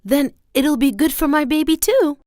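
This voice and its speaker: A woman's voice: sits high, normal speed, a little mellow, no room sound, clean studio sound, lightly acted. An actress playing a young pregnant mother in a fairy tale cartoon, eager, demanding and theatrical. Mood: eager craving.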